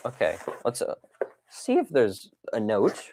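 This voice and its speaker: A man's voice: mid-range voice, wildly swooping pitch, unhurried, dark tone, a little hiss or hum. A man hosting a casual livestream, chatting playfully with viewers, reading messages aloud and wandering into exclamations and asides. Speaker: livestream host of a toy dinosaur collecting channel.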